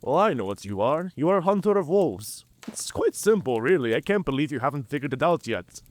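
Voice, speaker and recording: A man's voice: on the high side, very animated, a little fast, a little mellow. A man playing a proud, curious werewolf outcast in an audio drama, with an emphatic, theatrical delivery. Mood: probing, blunt. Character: proud, curious male werewolf outcast, devoted to pack tradition. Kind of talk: cinematic audio roleplay acting.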